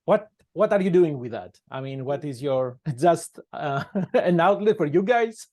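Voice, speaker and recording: A man's voice: on the high side, animated, dark tone. Greek-accented male interviewer on a call. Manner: friendly, laughs often, halting questions. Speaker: interviewer, podcast host. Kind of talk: casual video-call interview. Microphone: video call.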